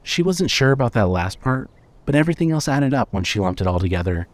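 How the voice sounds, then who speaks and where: Measured male horror narrator. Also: medium pitch, very animated. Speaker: narrator of an audio fiction series. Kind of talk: scripted horror fiction narration.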